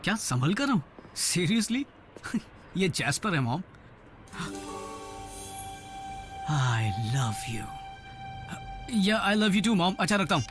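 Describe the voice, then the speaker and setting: Youngish high male teen, casual, wry, lively intonation, cartoon dub, English words mixed in. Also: slow, pauses often, full character voice. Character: awkward, wry teenage boy with a drive-in job. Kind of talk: dubbed cartoon dialogue. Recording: music under the voice.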